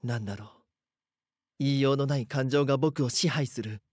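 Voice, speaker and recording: Casting: actor as timid young man, mid-pitched and husky, hesitant first-person narrator of a tense audio drama. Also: normal speed, darkish tone, no room sound, studio quality, close to an everyday voice. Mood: frightened. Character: timid young man, frightened captive narrating his ordeal.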